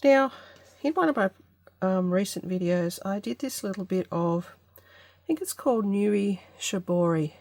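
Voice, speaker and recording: A woman hosting a casual craft vlog, congested from an allergy, talking through her work in an unhurried, tentative way as she thinks aloud. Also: mid-range voice, a little mellow, dry recording, studio quality. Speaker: craft channel host.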